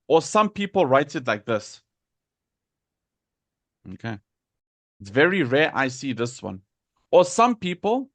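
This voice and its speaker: The South African man at the front of an English class, sounding words out deliberately in a close, dry recording. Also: a little high, fairly bright tone, no room sound. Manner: deliberate, repeats and enunciates words for learners. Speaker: English teacher. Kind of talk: live lesson explaining pronunciation to students.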